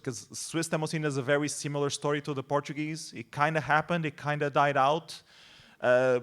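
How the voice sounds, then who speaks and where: A man moderating an informal panel, speaking in a conversational, explanatory way. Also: medium pitch. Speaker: roundtable moderator.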